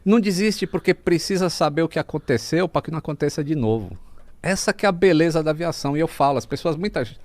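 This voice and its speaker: A man's voice: fairly high pitch, fast talker, a little mellow, no room sound. A man with a Brazilian accent talking casually as a podcast guest, explaining things like a storyteller and mimicking sounds as he goes.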